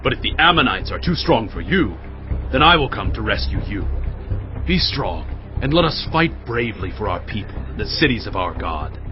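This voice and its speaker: Bold, animated ancient army commander. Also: male voice, on the low side, moderate pace, dull audio. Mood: resolute. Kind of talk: dramatized audio Bible acting. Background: prominent music.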